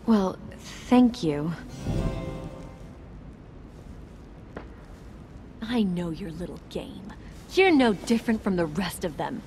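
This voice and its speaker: A woman's voice: on the low side, big pitch swings, a little slow, mellow, faint music behind. A wounded, distrustful young woman. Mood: bitter, accusing. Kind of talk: dramatic video game acting.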